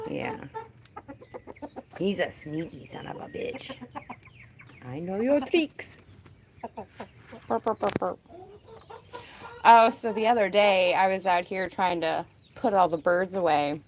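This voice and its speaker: A woman chatting casually on a live stream from her chicken coop outdoors, talking to her birds, with a calm, disinterested-sounding voice. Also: mid-range voice, takes it slowly, long pauses, a little hiss or hum. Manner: chatty, laughs often, talks to her birds. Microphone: phone held in hand.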